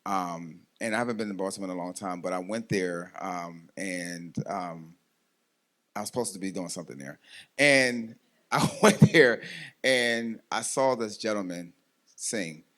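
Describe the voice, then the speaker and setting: A man's voice: sits low, unhurried, fairly bright tone. Host, man, 40s, loose rambling talk with frequent laughs. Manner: rambling, many ums, laughs often. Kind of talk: casual chat interview.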